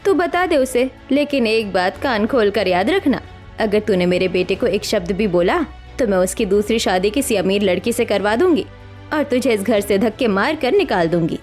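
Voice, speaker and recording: A woman's voice: mid-range voice, lively intonation, moderate pace, a little mellow, faint music behind, close to an everyday voice. A woman playing a cruel, middle-aged mother-in-law in a cartoon story, scolding and giving commanding orders. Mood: angry, threatening. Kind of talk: dramatic Hindi cartoon story acting.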